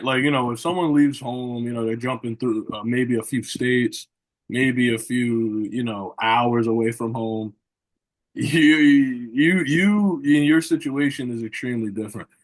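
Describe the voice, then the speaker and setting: A man's voice: medium pitch, a little slow, rough recording. A man hosting a sports interview show, asking long rambling questions with many repeated phrases and "you know" fillers. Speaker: podcast host.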